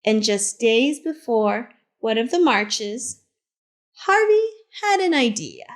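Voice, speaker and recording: A woman reading a picture book aloud to children as a teacher librarian, in simple, deliberate phrases with short asides. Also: a little high, sing-song. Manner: simple, deliberate phrasing addressed to young listeners.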